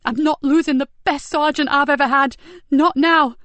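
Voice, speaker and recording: A woman voicing a tough, fierce female police officer who speaks forcefully and urgently. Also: a little high, steady tone, normal speed, dry recording, lightly acted. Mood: pleading.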